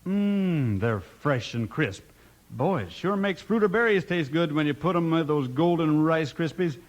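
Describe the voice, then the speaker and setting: A man speaking as a folksy, eager cowboy pitchman in a 1950s radio commercial. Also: expressive, a little slow.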